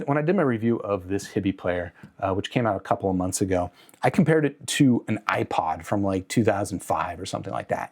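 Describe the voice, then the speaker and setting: A man's voice: fairly low pitch, a little mellow. A man presenting a product review in a casual, unscripted way, conversational and candid, with a mildly critical bent.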